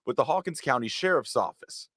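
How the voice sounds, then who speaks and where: A man's voice: mid-range voice, lively intonation, fairly quick, a bit bright, no room sound, clean studio sound. A man reading a scripted television news report in a reporter's delivery.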